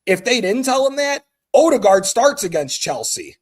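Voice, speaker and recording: A man's voice: very high-pitched, big pitch swings, moderate pace. Blunt, emphatic American man. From United States. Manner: emphatic, blunt, swears freely. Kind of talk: heated call-in football chat.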